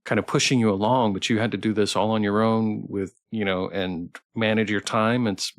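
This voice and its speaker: A man's voice: on the low side, fairly even intonation. Casual man hosting a livestream. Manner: halting, often restarting and repeating words. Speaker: livestream host interviewing a game developer.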